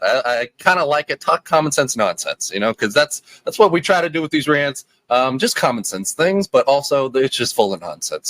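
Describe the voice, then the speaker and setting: A man's voice: mid-range voice, fairly bright tone, no echo. Chatty young man rambling on a podcast. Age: 20s. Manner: chatty, self-deprecating, many fillers and restarts. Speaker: podcast host. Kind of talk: casual rambling monologue.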